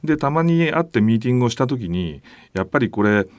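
A man speaking as a podcast guest, explaining things politely and haltingly as he searches for words. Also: medium pitch, very animated, darkish tone, no echo. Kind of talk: conversational explanation.

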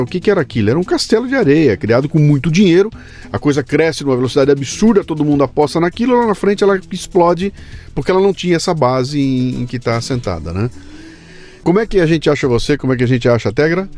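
A man's voice: medium pitch, lively intonation, fast talker, a little mellow. A man hosts an interview podcast in an informal, emphatic manner, full of slang. He is from Brazil. Faint music sits underneath. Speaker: podcast host. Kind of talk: casual interview chat.

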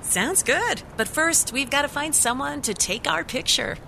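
Woman playing earnest reporter, slightly high voice. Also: a little slow, gravelly, sharp tone, a little hiss or hum, full character voice. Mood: amazed, happy. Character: earnest, caring female news reporter. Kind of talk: audio drama acting.

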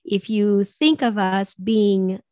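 A woman with a raspy voice, a coach talking reflectively in a podcast conversation, with many "you know" asides. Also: medium pitch, slow pace, a little mellow, dry recording, clean studio sound. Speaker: possibility coach.

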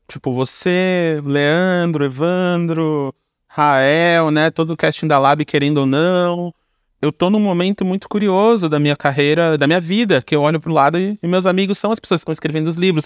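A man's voice: on the high side, clean tone, dry recording, clean studio sound. A man with a Brazilian accent chatting casually as an interview guest, informal and slangy, often adding little tag questions. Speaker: podcast guest, screenwriter. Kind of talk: casual conversational interview answers.

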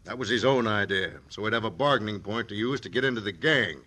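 A man playing a cold, scheming crooked official in an old-time radio western, businesslike and deliberate, with an American accent. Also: lowish voice, sharp tone. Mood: insistent.

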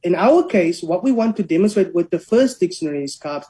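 A linguist, explaining language policy in a measured, academic way during an interview. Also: medium pitch, lively intonation, mellow.

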